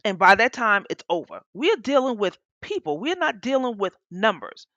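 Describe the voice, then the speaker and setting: A woman's voice: fairly high pitch, very animated, moderate pace, a bit bright, no room sound, lo-fi sound. A woman advocate speaking in a blunt, emphatic, impassioned way on a panel, repeating phrases for force. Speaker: panelist, women veterans advocate.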